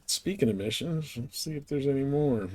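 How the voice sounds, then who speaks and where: A man's voice: mid-range voice, normal speed, dark tone. A man giving casual, folksy live commentary as he streams a game, laid-back in manner.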